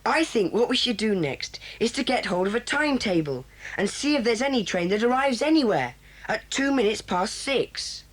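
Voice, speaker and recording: A woman's voice: a little high, expressive. A woman voicing a bossy young boy leader. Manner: brisk, takes charge. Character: boy leader of a children's club, decisive. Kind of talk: audio drama for children.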